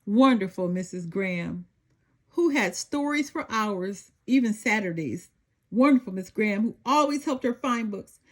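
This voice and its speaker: A woman reading a children's story aloud in an animated, expressive storytelling manner. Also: mid-range voice, normal speed. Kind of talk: animated scripted read-aloud narration for children.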